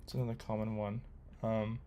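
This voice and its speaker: A man's voice: fairly low pitch, fairly quick, a little hiss or hum. A young man chatting casually on a coding livestream, reading viewer comments aloud and rambling with lots of ums and uhs. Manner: relaxed, rambling, reads chat aloud with many fillers. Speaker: livestreamer learning to code.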